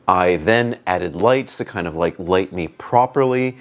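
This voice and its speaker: Deep-voiced man with a booming voice. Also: unhurried. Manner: relaxed, rambling, lots of "like" and "um". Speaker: tech reviewer. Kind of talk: casual product review. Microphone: wireless clip-on lapel mic.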